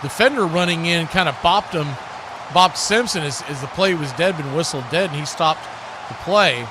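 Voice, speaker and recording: A man's voice: a little high, animated, moderate pace, a crowd in the background, background noise. A man hosting a livestream, calling football play-by-play and chatting casually with viewers. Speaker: livestream host and play-by-play commentator.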